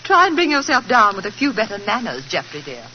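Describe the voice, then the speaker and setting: An actress with a British accent playing a gushing, stage-struck society wife with theatrical emphasis and a tart edge, in a 1940s radio drama. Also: female voice, medium pitch, huge pitch swings, fast. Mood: chiding. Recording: noisy, muffled old radio recording.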